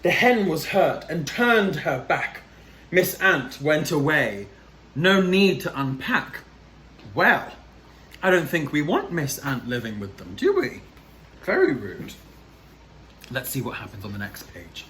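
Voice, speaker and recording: A man's voice: on the high side, very animated, takes it slowly, a bit bright. A man reading a children's picture book aloud as a drag storyteller, theatrical and chatty, speaking straight to young viewers. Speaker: storyteller, drag queen host. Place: small plain room indoors. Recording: echoey room, light background noise.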